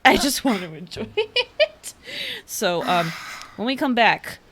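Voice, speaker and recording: A woman's voice: fairly high pitch, huge pitch swings, takes it slowly, a bit bright, slight background noise. A woman hosting a game livestream, chatting casually and animatedly and sometimes muttering to herself as she plays. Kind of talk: casual livestream chat while playing a game.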